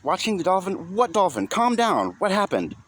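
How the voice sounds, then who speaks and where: A man's voice: high-pitched, wildly swooping pitch, moderate pace, a bit bright, voice pushed up, exaggerated voice. A man voicing a flustered, reluctant father in an audiobook memoir, exasperated and abrupt but caring. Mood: alarmed. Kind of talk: acted dialogue in an audiobook memoir.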